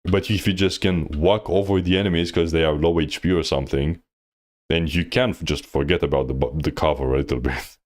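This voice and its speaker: A man's voice: extremely low pitch, fairly even intonation, a little fast, a little mellow. A man coaching on a live stream, reviewing gameplay bluntly with lots of fillers. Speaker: game coach.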